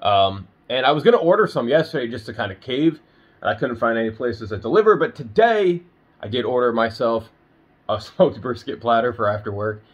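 A man's voice: mid-range voice, lively intonation, brisk, breathy edge, muffled recording. A young man talking casually to his phone camera in a bedroom, rambling with lots of fillers, still a little nasal from being sick. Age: young adult. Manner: chatty and rambling, many "um"s and "you know"s. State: a little nasal, recovering from illness. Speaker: vlogger. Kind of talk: casual daily update vlog. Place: bedroom indoors. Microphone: phone camera at arm's length.

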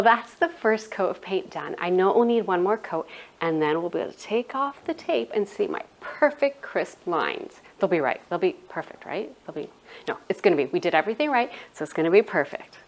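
A woman's voice: mid-range voice, very animated, brisk. Chatty woman hosting a DIY video. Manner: chatty, self-deprecating, playful asides. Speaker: home decor YouTuber. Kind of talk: casual DIY tutorial. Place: home interior, hallway and craft table. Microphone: lapel mic.

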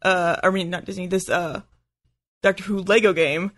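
A man's voice: sits high, fairly even intonation, normal speed, a bit bright. A man co-hosting a podcast, talking in an informal, conversational way. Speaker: podcast co-host. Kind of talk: casual chat.

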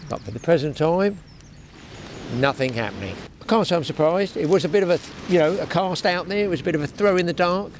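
A man's voice: on the high side, lively intonation, quick pace, darkish tone. A man in his sixties, a fishing vlogger chatting to a close-held camera on an open shingle beach, rambling and grumbling with dry humour. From England. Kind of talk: casual chat to camera. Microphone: action camera held close. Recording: outdoor beach noise behind.

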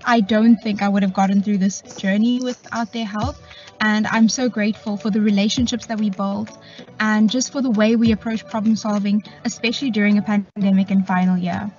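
Brief: young woman, lively, phone in a car, faint music under. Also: medium pitch, fairly quick, muffled recording. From South Africa. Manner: bright, laughing often. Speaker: recent graduate. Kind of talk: testimonial to camera. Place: in a parked car.

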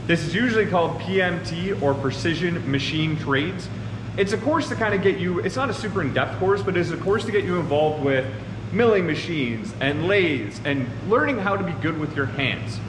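A man's voice: high-pitched, animated, moderate pace. A man in his thirties from Ontario, Canada, a machine shop owner giving earnest casual advice to camera from his shop floor. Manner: earnest, talks with his hands, addresses viewers as guys. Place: large open machine shop floor, indoors. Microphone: far camera on a tripod. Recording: roomy echoey shop with long reverb and air conditioning hum.

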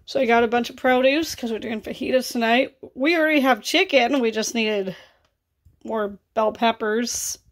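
A woman in her thirties with a scratchy, raspy voice and vocal fry, chatting casually through a grocery haul in her kitchen. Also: fairly high pitch, moderate pace. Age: 30s. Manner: chatty and offhand, rising into exclaimed asides. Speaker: vlogger. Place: home kitchen, indoors.

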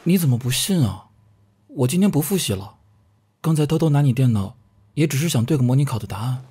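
A man's voice: medium pitch, lively intonation, a little fast, lightly acted. A young man acting as a weary, guarded male student in a Chinese audio drama. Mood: sheepish, protesting. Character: weary, guarded male student narrating his hard youth. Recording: roomy sound under loud music. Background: music.

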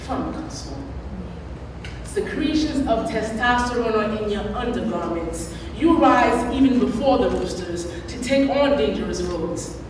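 A young woman reciting poetry live at a slam, with deliberate, dramatic delivery and emphatic pauses. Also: on the high side, lively intonation. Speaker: spoken-word poet. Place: large room at a college, on stage at a podium. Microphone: microphones on stands at a podium. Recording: echoey hall, distant mic, background noise.

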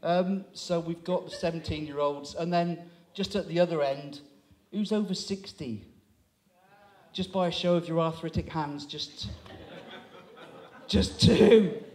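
A man's voice: a little high, measured pace, a little mellow. A man in his sixties working as compere, teasing the audience in a chatty stand-up crowd-work style on a small town hall stage. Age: 60s. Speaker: comedy compere. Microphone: handheld microphone.

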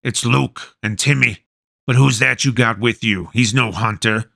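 A man voicing a greedy, petty patrol soldier who talks gruffly and impatiently. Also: medium pitch, a little slow, bright, forceful tone, dry recording, studio quality, full character voice. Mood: suspicious.

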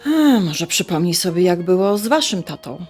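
An actress playing a chatty, warm-hearted middle-aged mother in a radio drama, with colloquial, expressive speech. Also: fairly low pitch, normal speed, husky, soft background music. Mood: gentle, advising. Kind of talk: radio drama dialogue, conversational.

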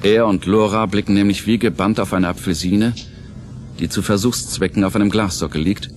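Low-voiced male audio drama narrator. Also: steady tone, brisk, darkish tone, faint music behind.

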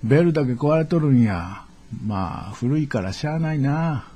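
An actor playing a gruff, good-natured middle-aged father who repairs bicycles, grumbling and blustering with a Kansai accent in a radio drama. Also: mid-range voice, lively intonation, moderate pace, heavy background noise, lightly acted. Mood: gruff, awkward.

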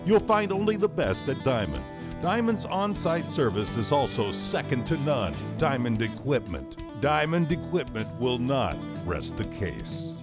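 Lively male ad announcer over music. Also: mid-range voice, unhurried. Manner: lively, sing-song delivery. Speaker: commercial announcer. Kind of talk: scripted ad voice-over.

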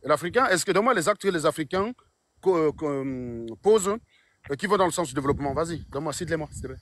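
Brisk combative livestream host, fairly high voice, phone held out. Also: male voice, expressive, fairly bright tone, a little hiss or hum. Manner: combative, mocking, insistent questioning. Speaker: live stream host. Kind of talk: casual livestream chat. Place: outdoors on a sunny street. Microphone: phone at arm's length.

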